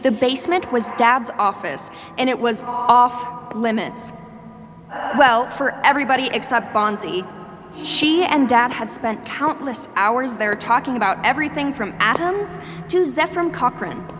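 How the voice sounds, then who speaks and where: A woman's voice: high-pitched, expressive, measured pace, noisy background. A woman narrating a scripted family adventure story in a wry, knowing manner. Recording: long reverb, music bed.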